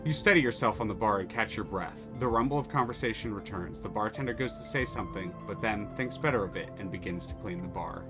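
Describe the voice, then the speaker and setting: A man's voice: fairly low pitch, fast, hardly any pauses, over music. A man streaming a story game, reading its text aloud and chatting casually, swearing freely.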